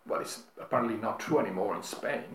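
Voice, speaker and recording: A man speaking as a panelist, halting with frequent restarts and "right?" tags. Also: on the low side, a bit bright. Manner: halting, many restarts and tag questions. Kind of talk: panel talk on politics. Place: lecture room. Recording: echoey room, faint noise.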